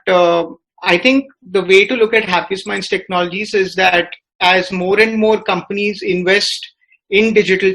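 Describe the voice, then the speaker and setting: Bright-voiced Indian man, halting analytical delivery. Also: sits high, rough recording. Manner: hesitant, halting, frequent filler words. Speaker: business reporter. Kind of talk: podcast discussion, analytical commentary.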